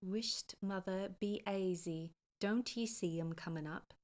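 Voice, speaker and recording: A woman reading aloud voices a bold young Irish country girl who speaks in brisk, scolding tones with a light Irish lilt. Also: medium pitch, darkish tone, natural voice.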